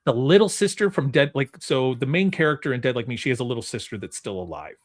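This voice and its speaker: A man with a mid-pitched voice hosts a podcast. He talks informally and rambles along in loose, conversational sentences. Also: expressive, fast. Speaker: podcast host. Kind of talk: casual chat hosting a film trivia podcast.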